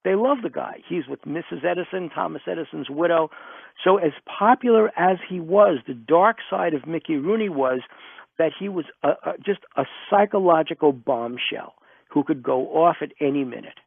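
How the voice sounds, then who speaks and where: A man's voice: a little high, expressive, normal speed, vocal fry, slightly rough, dry recording, phone-quality sound. A man, a guest author telling stories emphatically and repeating himself to drive points home in an interview. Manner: emphatic, builds points through repetition. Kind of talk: animated storytelling in an interview. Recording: band-limited radio interview sound, close and dry.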